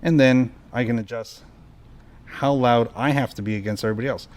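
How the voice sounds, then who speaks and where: A man hosting a hands-on equipment tutorial, calmly explaining each step as he adjusts the controls. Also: mid-range voice, fairly quick. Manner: relaxed, explanatory, talks through each step while adjusting knobs. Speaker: product tutorial host. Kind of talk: casual hands-on equipment demo.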